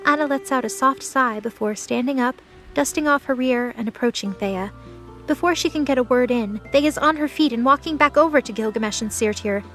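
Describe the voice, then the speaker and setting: A woman's voice: sits high, brisk, fairly bright tone, faint music behind. A woman narrating a scripted light novel audio drama.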